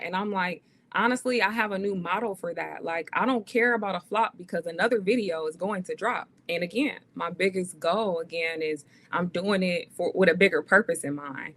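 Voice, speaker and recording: A woman's voice: lowish voice, moderate pace, few pauses, fairly bright tone. A woman in her thirties, a podcast guest, talking earnestly and casually with many fillers. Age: 30s. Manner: earnest, faith-driven, many "um"s and "like"s. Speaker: guest, actress and author.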